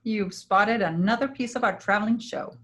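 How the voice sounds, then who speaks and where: A woman playing a kind, principled healer in a travelling troupe, gentle and reassuring, in a staged play reading. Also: medium pitch, moderate pace, natural voice. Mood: modest, kind.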